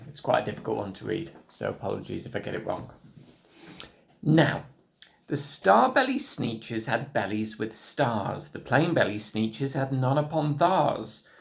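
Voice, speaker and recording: Man, children's storybook reading, rhythmic verse, very animated pitch, creaky voice. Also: measured pace. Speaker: storybook reader, school staff. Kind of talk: children's story read aloud.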